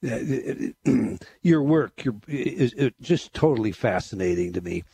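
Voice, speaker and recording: Talk radio host pitching plugs. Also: male voice, lively intonation, slow pace, creaky, warm tone, slightly rough, no echo. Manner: promotional, hesitant with fillers.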